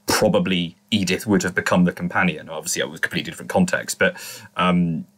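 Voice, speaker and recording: A man in casual analytical podcast discussion, speaking haltingly and repeating words as he searches for phrasing. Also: low-pitched, fairly even intonation, fairly bright tone. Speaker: podcast panelist.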